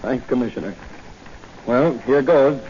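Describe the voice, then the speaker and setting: An actor playing a confident, brisk police officer hero in a 1940s radio drama, decisive and matter-of-fact. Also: male voice, medium pitch, darkish tone, close to an everyday voice. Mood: determined. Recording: muffled, noisy 1940 radio recording.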